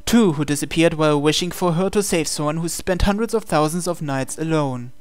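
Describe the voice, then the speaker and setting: A man reading scripted, dramatic story narration in a measured, solemn way. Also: fairly high pitch, smooth, dry recording. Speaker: narrator reading translated game text. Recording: music behind the voice. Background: music.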